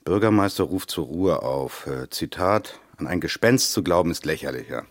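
Chill middle-aged dad in kids radio drama, deep wry voice, very dry sound. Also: male voice, lively intonation, moderate pace, no room sound. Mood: reading aloud. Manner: placating, wry. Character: easygoing, soothing father of a schoolboy. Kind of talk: children's radio play.

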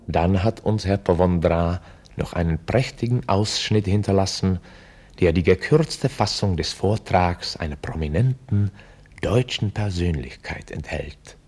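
A formal chronicler presenting a mock documentary for radio. His voice sits fairly low and swings widely in melody as he explains, with theatrical variety. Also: warm tone, slightly rough, heavily acted. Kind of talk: scripted radio drama narration.

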